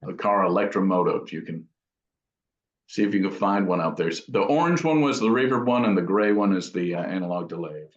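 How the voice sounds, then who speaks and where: A man's voice: low-pitched, animated, a little fast. A man giving casual interview answers as a guest craftsman, thinking aloud and correcting himself as he goes. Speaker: guitar amp builder being interviewed. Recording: roomy, dull lo-fi sound.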